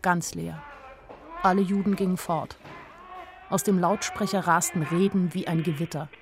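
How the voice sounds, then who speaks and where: An actress playing a naive, sharp-eyed young woman who tells her own story in a radio play, artless and plain-spoken. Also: lowish voice, steady tone, moderate pace, over music, natural voice. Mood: wry. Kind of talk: first-person radio play narration.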